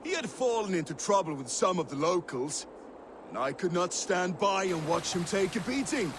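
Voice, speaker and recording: An actor playing a battle-worn Viking warrior-poet, telling sagas in a grandiose, poetic manner in a video game, with water and boat noise behind. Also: male voice, high voice, expressive, moderate pace. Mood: earnest, wistful. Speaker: storyteller. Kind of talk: dramatic video game monologue.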